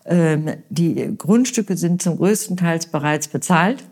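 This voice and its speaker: A woman from Westphalia gives her answers as a local election candidate. She speaks in an earnest, considered way, often stopping to fill pauses and restart her sentences. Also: low voice, animated, a little mellow. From Westphalia, Germany. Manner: earnest, hesitant with many fillers. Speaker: mayoral candidate. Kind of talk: political interview answers.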